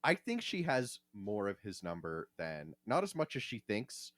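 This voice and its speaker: Man, podcast host, lowish voice, lively intonation, emphatic, sweary, casual opinions, dry sound. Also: moderate pace, no room sound. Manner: emphatic, profane. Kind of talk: casual, opinionated discussion of fiction.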